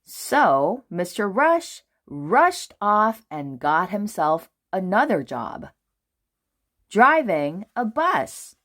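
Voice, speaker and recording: A woman narrates a picture book for children with dramatic, deliberate phrasing. Her pace is slow and her intonation swings widely. The voice is medium in pitch. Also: sing-song, a bit bright. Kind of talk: expressive storybook narration for children.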